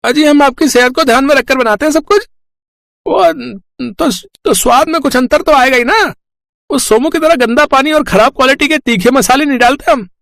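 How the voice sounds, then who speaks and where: A man voicing a high, gravelly scheming seller. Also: moderate pace, no echo, studio quality. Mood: smooth-talking. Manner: pushy, loud and scolding. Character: boastful scheming male village panipuri seller. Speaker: cartoon voice actor. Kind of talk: animated storybook acting.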